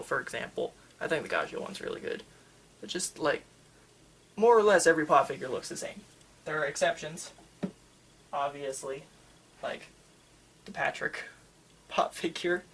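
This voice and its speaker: ASMR store worker, mid-pitched and creaky, halting casual chat, enthusiastic fan, slight ambient noise. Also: a bit bright. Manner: rambling, halting, enthusiastic about anime. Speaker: manga store worker in a roleplay. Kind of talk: ASMR roleplay, casual customer-service chat.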